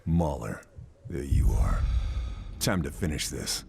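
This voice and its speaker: A man playing a stoic, dry-witted Allied sniper, laconic and deadpan, in a war game cutscene. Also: a bass voice, slow, gravelly, a little hiss or hum, heavily acted. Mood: grim, dry. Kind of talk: restrained game cutscene acting.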